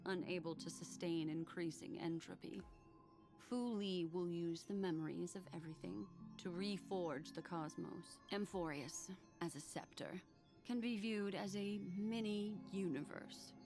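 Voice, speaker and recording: An actress playing a composed, scholarly, enigmatic memory keeper in a video game, speaking in a measured, formal way. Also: female voice, lowish voice, soft background music. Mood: grave, explaining. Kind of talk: scripted video game dialogue.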